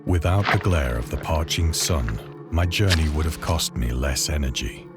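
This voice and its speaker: A man playing a haunted castaway, narrating a horror tale in a measured, solemn, literary manner for a game. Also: very deep voice, expressive. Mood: uneasy, solemn. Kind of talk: scripted dramatic narration of a horror story. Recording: loud music under the voice. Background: loud music.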